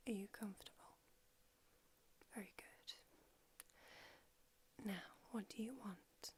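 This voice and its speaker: A woman's voice: mid-range voice, a little mellow, slight background noise, lightly acted. A woman whispering in an ASMR roleplay, voicing a brusque, haughty old female vampire doctor with a dry manner.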